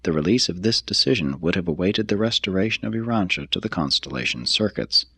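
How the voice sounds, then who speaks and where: A man reading a religious text as scripted narration, in a measured, solemn and formal manner. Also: low voice, fairly bright tone, breathy edge, no echo. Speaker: reader.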